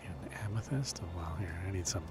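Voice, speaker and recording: Low-voiced man musing over a game. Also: lively intonation, a little fast. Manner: rambling, musing aloud, wry. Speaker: gaming streamer. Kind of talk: casual let's play commentary. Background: game music.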